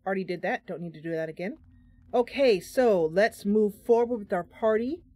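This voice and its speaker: A woman's voice: mid-range voice, normal speed, warm tone, no echo. A woman hosting a game stream, giving casual live commentary and thinking aloud through her choices as she chats to viewers. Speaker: game streamer, host of the channel.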